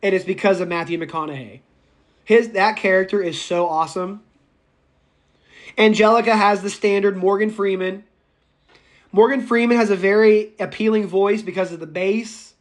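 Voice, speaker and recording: A raspy-voiced male public speaking instructor lecturing a live online class, speaking loudly without a microphone, chatty and prone to tangents. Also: sits high, fairly even intonation, normal speed. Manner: speaks loudly, projecting as if shouting; enthusiastic, goes off on tangents and laughs. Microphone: no microphone, voice caught by the room recorder.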